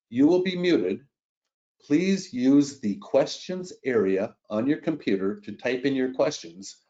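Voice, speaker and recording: A man's voice: mid-range voice, lo-fi sound. Stuffed-up man hosting a webinar. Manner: relaxed, halting, many uh fillers. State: stuffy from a head cold. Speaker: webinar host.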